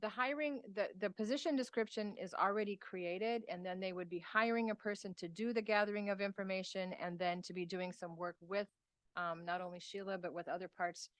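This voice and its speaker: A woman's voice: mid-range voice, steady tone, a little fast, no echo. Give me a woman presenting to a county committee, conversational and explaining things plainly. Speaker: extension staff presenter.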